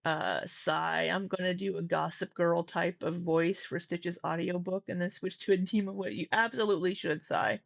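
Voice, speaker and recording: A woman's voice: on the low side, fairly even intonation, normal speed, creaky, few pauses, no echo. A woman hosting a reaction commentary, talking in a conversational way with frequent fillers and restarts. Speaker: commentary host.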